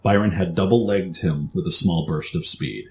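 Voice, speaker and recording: Deep-voiced man narrating a story. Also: moderate pace, a little mellow, a little hiss or hum.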